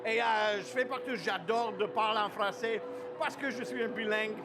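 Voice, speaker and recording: A man's voice: sits high, normal speed, over music. A man whose first language is English, speaking French as a comedian guest. He talks in a boisterous, hyped-up way, drops English phrases into his French and lets out whoops. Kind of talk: hyped-up festival interview.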